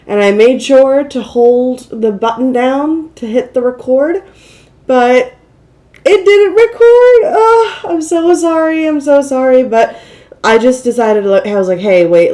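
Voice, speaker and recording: A woman's voice: high-pitched, expressive, a little slow, warm tone. A woman with a slightly nasal, congested voice, chatting casually and self-deprecatingly as she hosts a get-ready-with-me makeup vlog. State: mildly congested from a cold. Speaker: beauty vlogger, channel host.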